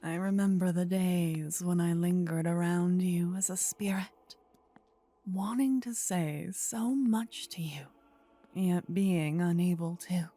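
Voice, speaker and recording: Woman acting a breathy, whispering demon queen. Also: lowish voice, warm tone. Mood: tender, grateful. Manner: measured, formal, regal. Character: possessive warlike demon queen, devoted to her champion. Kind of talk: intimate ASMR roleplay acting.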